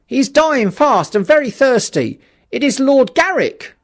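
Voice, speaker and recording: A man's voice: very high-pitched, sing-song, a little slow, pitched above the performer's own voice, exaggerated voice. A man voicing an urgent man in an audiobook, pleading for a dying companion in a raised, pressing voice.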